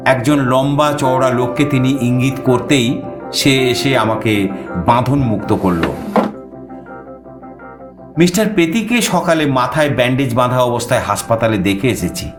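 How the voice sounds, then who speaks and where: A man narrating a suspense story in the first person, theatrical, with dramatic pauses. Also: medium pitch, lively intonation. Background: music.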